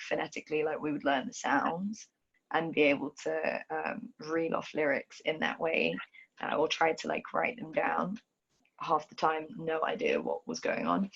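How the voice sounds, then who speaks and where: A woman's voice: sits low, gravelly, slightly rough, highs cut off. A young woman from Ethiopia with a London accent, talking thoughtfully with many ums and restarts in a conversation. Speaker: dancer and choreographer. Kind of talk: reflective conversation. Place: video call from home.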